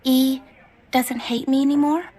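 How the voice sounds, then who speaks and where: An actress playing a distraught, hungry, guilt-ridden young female vampire in a video game, with breathy, halting, anguished delivery. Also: high voice, flat delivery, warm tone, slight background noise. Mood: pleading.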